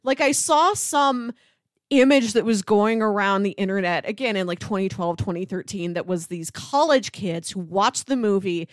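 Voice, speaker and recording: A woman co-hosting a casual film chat, with a medium-pitched voice. She explains things earnestly, leaning often on "like" as she goes. The sound is dry, with hardly any room to it. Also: normal speed, no echo. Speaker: podcast co-host.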